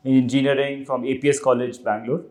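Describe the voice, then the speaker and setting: A man's voice: medium pitch, level tone, fast talker, a little mellow. A man in his forties with an Indian English accent, a podcast guest rambling through his career story in a chatty way. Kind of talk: casual career interview.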